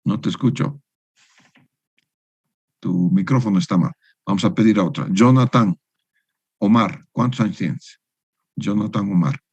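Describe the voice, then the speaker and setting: A man's voice: lowish voice, unhurried, pauses often, darkish tone, slightly rough, no room sound, highs cut off. A man giving an online engineering lecture to university students. He teaches in a didactic way and keeps checking in with tag questions. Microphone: video call.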